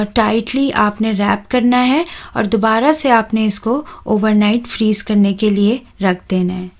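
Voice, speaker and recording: A woman, hosting a home cooking channel and talking calmly through a recipe step by step, with soft "uh" fillers. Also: a little high. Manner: calm, instructive, with soft "uh" fillers.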